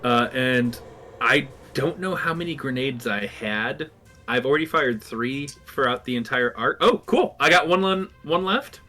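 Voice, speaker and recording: A man with a bright, forceful voice plays a tabletop roleplaying game. His casual table talk swings widely in pitch, over faint music. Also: animated, unhurried.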